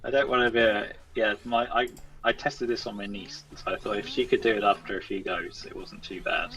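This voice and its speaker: A man's voice: fairly low pitch, steady tone, a little fast, a bit bright. A man speaking as a guest game developer, chatting casually. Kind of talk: casual chat. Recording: distant mic with music underneath. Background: music.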